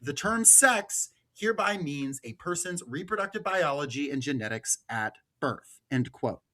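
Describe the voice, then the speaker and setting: A man's voice: on the high side, expressive, moderate pace, bright, forceful tone, low-quality recording. American man hosting a political podcast. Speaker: podcast host. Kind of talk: political podcast commentary, partly read from notes.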